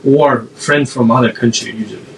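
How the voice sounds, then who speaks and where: A man from South Korea with a Korean accent, a podcast guest answering in a halting way and often restarting his phrases. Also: mid-range voice, steady tone, creaky, recorded from a distance, slight background noise, poor audio. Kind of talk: casual interview answers.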